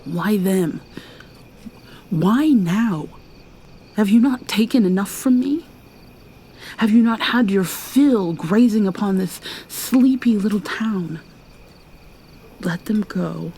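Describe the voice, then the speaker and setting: A woman voicing a gentle young mermaid in an ASMR roleplay, speaking softly and hesitantly, often in a whisper. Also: mid-range voice, animated, slow, long pauses, slightly rough, full character voice, low-quality recording. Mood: defiant.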